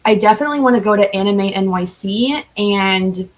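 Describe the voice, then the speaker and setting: Woman, mid-range pitch, casual podcast guest, enthusiastic, giggly, informal. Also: moderate pace. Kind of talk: casual interview chat.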